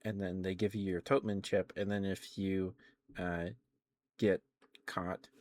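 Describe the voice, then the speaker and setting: A man hosting an ASMR livestream, speaking softly and intimately and slipping into whispers. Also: low-pitched, flat delivery, a little slow, dry recording.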